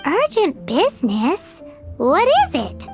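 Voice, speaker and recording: Squeaky, childlike fairy companion. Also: female voice, wildly swooping pitch, slow, soft background music, full character voice. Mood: curious. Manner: speaks of herself in third person, exclamatory. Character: small childlike floating fairy companion, cheeky and bossy. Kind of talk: animated video game voice acting.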